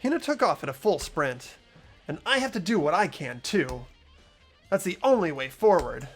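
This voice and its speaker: A hopeful, slightly puzzled teenage boy in a video game, played by a man. His voice is fairly high and lively, with music behind it. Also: moderate pace, slightly rough, full character voice. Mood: reflective. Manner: earnest, questioning. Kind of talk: voiced video game playthrough, dialogue and inner narration.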